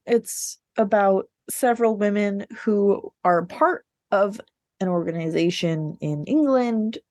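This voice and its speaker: A woman chats casually about books as a podcast co-host. Her voice sits at an ordinary pitch and is recorded very dry. She is informal and wry, happy to throw in a blunt aside. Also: darkish tone, no room sound. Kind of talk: casual book discussion chat.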